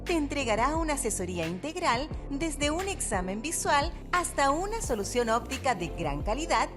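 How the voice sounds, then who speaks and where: Female commercial announcer, high-pitched scripted read, clear music behind. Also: very animated, moderate pace. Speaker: advertisement announcer. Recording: music bed under voice.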